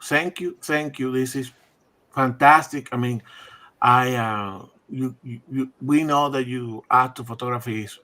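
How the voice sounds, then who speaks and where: A man's voice: medium pitch, fairly even intonation, measured pace, sharp tone. A man co-hosting a livestream chat who praises the guest effusively and stumbles into repeated words.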